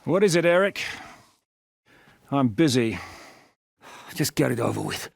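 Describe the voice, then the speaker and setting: An actor playing a gruff, battle-hardened rebel commander in a video game, barking orders, swearing and mocking his foes. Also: mid-range voice, big pitch swings, unhurried, no echo, clean studio sound, exaggerated voice. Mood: commanding.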